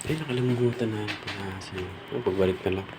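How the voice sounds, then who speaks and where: A Filipino man with a fairly low voice talks casually, as one technician to another. He is working through a fault, and there is distinct noise in the recording. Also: fairly even intonation, quick pace. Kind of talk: casual work chat. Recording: noisy on-site recording.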